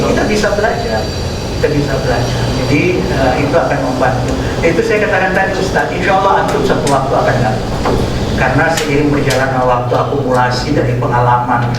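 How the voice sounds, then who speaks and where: A man in his sixties from North Maluku, a veteran preacher training imams and Friday preachers, who repeats phrases for emphasis. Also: on the high side. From North Maluku, Indonesia. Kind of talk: workshop talk with questions from trainees. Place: large echoey mosque hall. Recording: echoey hall, distant mic, background noise.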